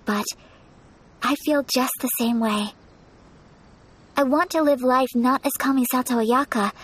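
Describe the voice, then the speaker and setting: A woman gives voice to a gentle, earnest young noblewoman in a game. She speaks softly, politely and in a measured way, her voice sitting fairly high, with faint music in the background. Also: close to an everyday voice. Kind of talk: video game voice acting.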